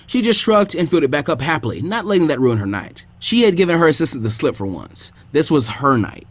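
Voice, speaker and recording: Fast, lively male story reader. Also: fairly high pitch. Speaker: fanfiction reader. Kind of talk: reading a fan story aloud.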